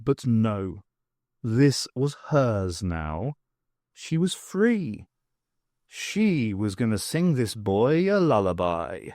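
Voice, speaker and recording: A man's voice: lowish voice, big pitch swings, extremely slow, darkish tone, dry recording, clean studio sound. A man reading scripted fiction aloud as an audiobook narrator.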